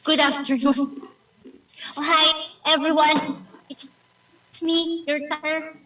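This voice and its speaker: Woman, Filipino accent, native Tagalog, timer report, playful, bright tone, far from the mic. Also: sits high, flat delivery, slow pace, highs cut off. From Philippines. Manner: playful, theatrical asides. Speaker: meeting timer. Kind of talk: online club meeting report. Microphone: video call. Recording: echoey room, distant mic.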